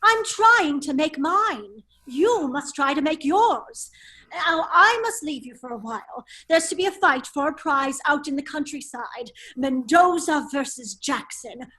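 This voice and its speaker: A woman playing a foppish, breezy gentleman husband in an amateur radio play read, with an airy, drawling, exclamatory manner. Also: sits high, lively intonation, unhurried, heavily acted. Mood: evasive, breezy.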